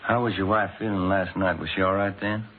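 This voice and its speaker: An actor playing a mild police detective, low-key and conversational, in a vintage radio drama. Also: male voice, fairly even intonation, fairly quick, close to an everyday voice. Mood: gentle, questioning. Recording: tinny, noisy vintage radio recording.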